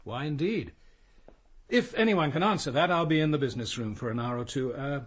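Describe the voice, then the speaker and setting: Husky actor playing a police inspector with a lively, varied delivery. He is cool, dry and exacting, putting pointed questions in a measured radio drama manner. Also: mid-range voice, close to an everyday voice. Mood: dryly pointed.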